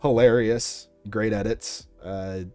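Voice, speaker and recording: A man's voice: fairly low pitch, steady tone, slow, a little mellow, no echo. A young man answering questions about his creative work in a casual interview, as a content creator. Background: music.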